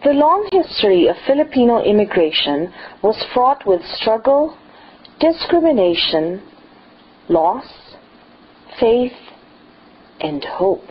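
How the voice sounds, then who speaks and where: A woman reading a documentary narration in a measured, formal way. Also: fairly high pitch, big pitch swings, slightly rough. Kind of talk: scripted narration. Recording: dull lo-fi voice-over with music.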